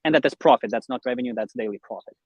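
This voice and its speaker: A man's voice: medium pitch, racing, breathy edge, dry recording, highs cut off. A young man from Russia, an interview guest explaining his online business in a conversational way. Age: young adult.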